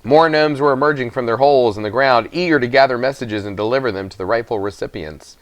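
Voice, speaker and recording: A man reads a children's novel aloud as scripted narration. His voice sits at a medium pitch, and the sound is dry with little room around it. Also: fast, no room sound. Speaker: storybook reader.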